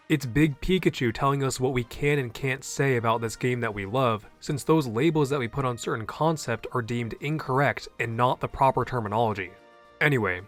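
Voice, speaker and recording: A man's voice: mid-range voice, a bit bright. A man hosting a scripted explainer video, earnest and opinionated, with a native English voice. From half Japanese. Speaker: YouTube host.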